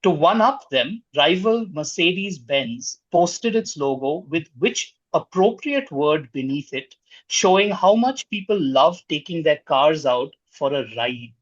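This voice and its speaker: A man's voice: on the high side, animated, unhurried, no echo. A man acting as quiz master, reading out questions and scoring answers over a dull-sounding online call.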